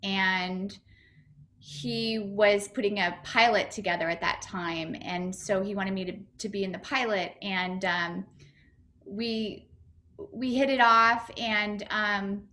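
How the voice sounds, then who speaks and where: A woman's voice: mid-range voice, steady tone, takes it slowly, pushed and bright, a little hiss or hum. A woman comedian and actor answering interview questions over a video call, chatty and upbeat, with lots of fillers and restarts.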